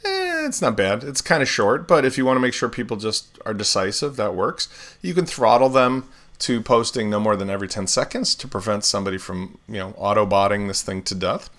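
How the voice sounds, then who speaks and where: A man hosting a live software tutorial, explaining steps in a relaxed way with everyday comparisons while still getting over laryngitis. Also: lowish voice, fairly quick. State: recovering from laryngitis, swallowing often. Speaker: web developer tutorial host.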